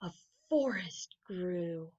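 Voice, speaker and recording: A woman reading children's bedtime stories aloud in an expressive storytelling manner, with exclamations and asides. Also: lowish voice, drawn-out words, muffled recording. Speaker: camp unit head reading bedtime stories. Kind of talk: animated picture-book read-aloud for children.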